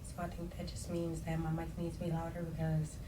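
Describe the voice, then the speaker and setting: A woman's voice: low-pitched, brisk. Young woman chatting on a podcast, voice kinda worn out, sits back from the mic, faint hiss. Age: young adult. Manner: laughing, slangy and expressive. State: strained voice. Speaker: podcast host. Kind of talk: casual chat. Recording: distant mic with faint noise.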